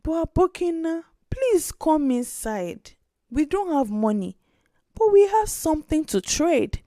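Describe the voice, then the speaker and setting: A woman's voice: high voice, unhurried, dry recording, clean studio sound, voice pushed up. A woman voicing an eager, pleading little orphan girl in a storybook tale. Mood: pleading, insistent. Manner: pleading, excited. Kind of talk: storybook character voice.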